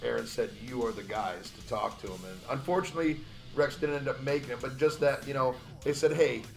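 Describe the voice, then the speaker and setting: A man co-hosts a podcast, telling stories in a rambling, halting way with frequent restarts. His voice sits at a middle pitch. Music plays behind him. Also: creaky. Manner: rambling, hesitant storytelling with restarts. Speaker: podcast co-host. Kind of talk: casual podcast chat.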